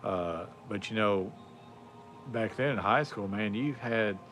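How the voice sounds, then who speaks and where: A man in his forties with a drawl talks in a low, easygoing voice. He rambles on a livestream, full of fillers and loose asides. Faint music plays underneath. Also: takes it slowly. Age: 40s. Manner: rambling, laid-back, drawling with many fillers. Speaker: livestream host. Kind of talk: casual chat.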